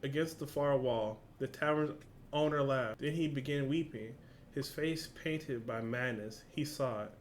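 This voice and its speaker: A man's voice: medium pitch, unhurried, a little hiss or hum. A man with a casual, slangy, informal way of talking, hosting a reaction video and reading along.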